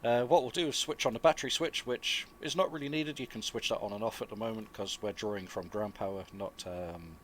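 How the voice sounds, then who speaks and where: A man, chesty with a cold, giving relaxed, informal and rambling flight simulator commentary as a video host. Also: fairly low pitch, brisk, few pauses, a little hiss or hum. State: getting over flu, chesty cough. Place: dry close-miked voice-over indoors.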